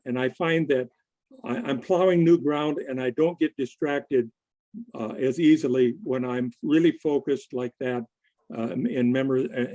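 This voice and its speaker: A man giving a conversational presentation to a group in a halting, deliberate manner. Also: medium pitch, dark tone. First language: English. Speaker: conference presenter. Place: online video meeting.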